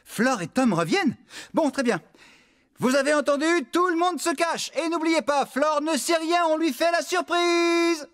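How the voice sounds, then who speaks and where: An actor voicing an excitable, bossy but kindly farmhand in a French children's cartoon dub. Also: male voice, very high voice, measured pace. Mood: excited, eager. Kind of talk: animated children's cartoon dubbing.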